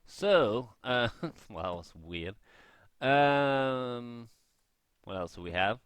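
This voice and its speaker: A man's voice: mid-range voice, animated, very slow, fairly bright tone, clean tone, no room sound. A man giving casual gameplay commentary, rambling and thinking aloud as he shares blunt opinions. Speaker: gaming vlogger.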